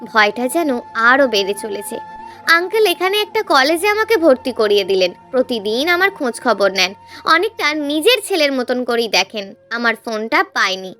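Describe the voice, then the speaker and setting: A woman's voice: sits high, sing-song, normal speed, sharp tone, faint music behind. A woman reading a scripted, emotional first-person story as a narrator.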